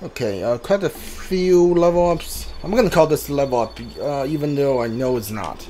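A man's voice: medium pitch, expressive, moderate pace, mellow, a little hiss or hum. A man doing live gameplay commentary as a streamer, thinking aloud as he plays. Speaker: game streamer.

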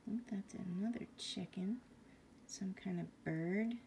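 A woman reads a bedtime picture book in a mellow, gentle voice that often drops toward a whisper. She takes her time and puts questions to a young listener. Faint room noise sits behind her. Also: mid-range voice, unhurried, creaky. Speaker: bedtime story reader. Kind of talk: reading a picture book aloud to young children.

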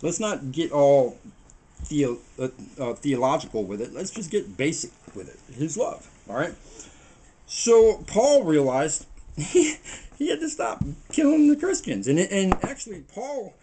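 Animated middle-aged man preaching in his kitchen. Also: a little high, a little slow, breathy edge. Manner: preachy, animated, talks while working with his hands. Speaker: home cooking show host. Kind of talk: rambling casual monologue while baking. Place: small home kitchen, indoors. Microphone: camera mic on the table a few feet away.

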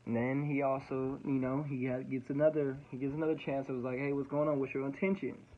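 A man's voice: mid-range voice, flat delivery, fast talker, narrow, tinny audio. A young man in his twenties, a student pilot, explaining recorded radio calls in a casual, slangy way, repeating himself often. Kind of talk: casual explainer over playback.

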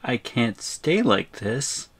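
Bewildered shrunken male student, wildly swinging pitch man acting. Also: big pitch swings, slow, fairly bright tone, slightly rough, exaggerated voice. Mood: exasperated, pleading. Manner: stammering, incredulous. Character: bewildered, exasperated shrunken male student, three inches tall. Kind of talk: roleplay audio drama.

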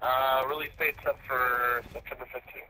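A man's voice: medium pitch, little pitch movement, moderate pace, noisy background. A man answering a call, heard over the telephone. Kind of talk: answering phone calls. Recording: phone call with music behind.

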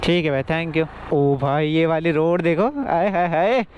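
A man's voice: fairly high pitch, fairly even intonation, a little slow, muffled recording. A young man, vlogging casually and thinking aloud, with English words mixed in. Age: young adult. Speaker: motovlogger. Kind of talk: casual vlog chat. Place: outdoors on roads, riding a scooter and stopping. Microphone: action camera on helmet or handheld. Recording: noisy outdoor action-camera audio with vehicle sound.

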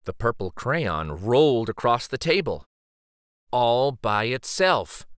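A man reading a children's story aloud dramatically, with theatrical, suspenseful pauses. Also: mid-range voice, sing-song, fairly bright tone, clean tone, no echo, clean studio sound.

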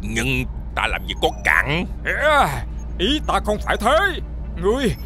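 A man voicing a gloating, blustering villain monster in an animated series, taunting and theatrical. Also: high voice, measured pace, exaggerated voice. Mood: flustered, blustering. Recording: loud music under the voice.

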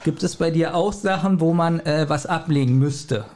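Man with a fairly high casual voice. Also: moderate pace, a little mellow. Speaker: podcast co-host. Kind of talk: casual chat, questioning.

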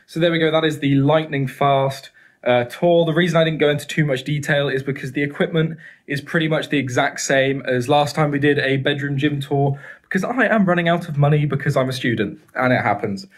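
A man's voice: on the high side, a little fast. Young man, 20s, casual vlog, upbeat, chatty, handheld phone, small bedroom. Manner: upbeat, chatty, many fillers. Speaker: fitness vlogger. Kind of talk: casual home gym tour. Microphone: handheld phone camera.

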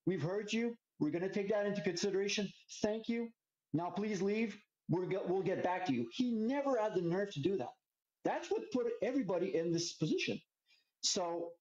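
A man's voice: high-pitched, a little mellow, echoey room, dull audio. A middle-aged man from Quebec, a native French speaker talking in English with a French Canadian accent, speaking earnestly with many fillers as a guest on a video call. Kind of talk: casual chat.

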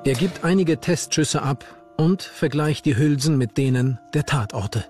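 A man's voice: on the high side, a little slow, darkish tone, faint music behind. A man reading scripted narration for a true-crime documentary.

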